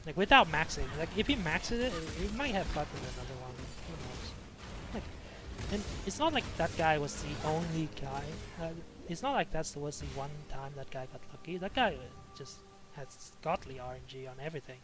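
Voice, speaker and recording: Higher-pitched man chatting over game music. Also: lively intonation, normal speed. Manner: rambling, repeats himself, casual swearing. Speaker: game streamer. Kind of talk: casual chat while gaming.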